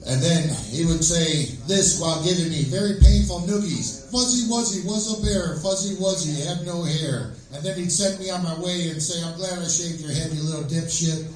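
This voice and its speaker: A man doing an amateur stand-up set on stage, telling jokes in a halting, rambling way. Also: on the high side, darkish tone. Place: small live comedy venue, stage. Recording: echoey room, distant microphone.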